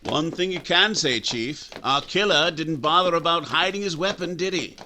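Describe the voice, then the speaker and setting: An actor playing a firm, protective small-town doctor with an authoritative manner, in old-time radio style. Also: male voice, a little high, moderate pace, sharp tone. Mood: matter-of-fact. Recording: faint noise, clicks.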